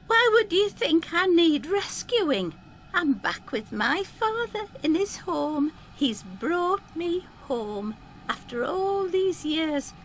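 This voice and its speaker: A woman's voice: very high voice, sing-song, slow pace, slight background noise, full character voice. An actress playing a vague, spaced-out woman in her seventies, wistful and deluded, in an audio drama. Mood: dreamy, proud.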